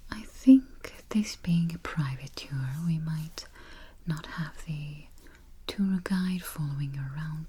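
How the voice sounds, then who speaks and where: A woman whispering softly as a travel agent in an ASMR roleplay, murmuring little mm-hmms between phrases, close to the mic. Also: low-pitched, breathy edge. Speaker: ASMR artist playing a spooky travel agent. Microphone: close sensitive mic. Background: keyboard typing and finger taps.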